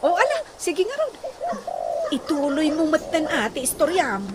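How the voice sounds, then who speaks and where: A woman's voice: sits high, big pitch swings, a little slow. An actress playing a curious, chatty village woman in a radio comedy drama. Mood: confiding. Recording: very noisy radio drama, roosters and birds.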